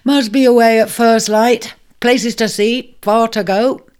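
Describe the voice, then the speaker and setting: A storyteller voicing a proud, self-important male ram who brags loudly and declares things with great grandeur. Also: mid-range voice, steady tone, unhurried, smooth, voice pushed up, natural voice. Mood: testy. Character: proud boastful male ram, restless wanderer. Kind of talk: storybook character voice.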